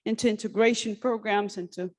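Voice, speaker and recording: A woman from Syria giving an earnest, halting personal talk at a workshop, with many restarts and fillers. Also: medium pitch, fairly even intonation. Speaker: conference speaker. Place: conference hall.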